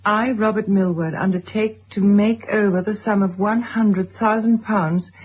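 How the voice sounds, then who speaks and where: A genteel middle-aged lady in a radio play, very husky and medium in pitch. She is composed, polite and quietly firm, on a slightly muffled vintage recording. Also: fairly even intonation, unhurried. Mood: formal reading. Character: composed, well-bred titled wife and mother, quietly firm. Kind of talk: radio drama acting. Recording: dull old radio recording.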